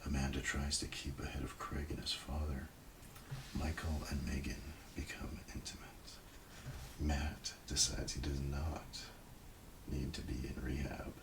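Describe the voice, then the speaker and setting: A soft-spoken man doing casual ASMR, muttering as if to himself and slipping into whispers, in a roleplay style. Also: flat delivery, unhurried. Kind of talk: ASMR, casual muttering while browsing TV listings. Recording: clear background noise, page rustling and clicks. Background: page flipping and highlighting sounds.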